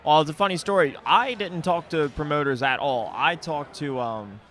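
A man's voice: on the high side, moderate pace, a bit bright. A young man co-hosting a fight podcast, chatting and telling stories in an informal, slangy way. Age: young adult. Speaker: podcast co-host, MMA fighter and coach. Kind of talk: casual fight recap and storytelling. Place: indoor event venue, at a table. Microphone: podcast microphone on boom arm. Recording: busy crowd behind the voice.

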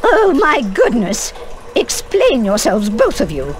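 An actress playing a stern, proper older professor in a video game, strict, clipped and formal. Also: female voice, fairly high pitch, huge pitch swings, slow, exaggerated voice. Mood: shocked, stern. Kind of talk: video game cutscene acting.

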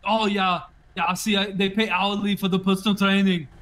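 A man playing a bodybuilder in a game, his put-on Austrian accent thick and his voice high for a man. He jokes in a deadpan way and spells names out slowly over a headset mic. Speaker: roleplayer in a police roleplay server.

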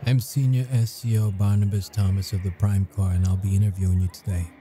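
An actor playing a grumpy but caring military intelligence interrogator in an ASMR audio roleplay, speaking in measured, halting phrases. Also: male voice, low voice, little pitch movement, warm tone, faint music behind, close to an everyday voice. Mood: formal, measured. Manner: measured, halting, deliberate delivery.

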